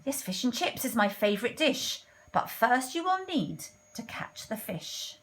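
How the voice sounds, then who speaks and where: A woman's voice: on the high side, very animated, measured pace. A woman playing a hungry, bossy but helpful storybook king who declares things with stretched-out, emphatic words. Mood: fussy, decided. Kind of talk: children's storybook read-aloud.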